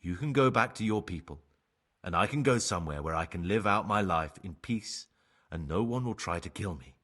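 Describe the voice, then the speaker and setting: An actor playing a remorseful ex-bandit turned novice monk in a game cutscene, husky, measured and persuasive. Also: male voice, sits low, lively intonation, fairly bright tone, heavily acted. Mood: persuasive, pragmatic. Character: remorseful young ex-bandit novice monk, sly pragmatic bargainer. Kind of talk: dramatic game cutscene acting.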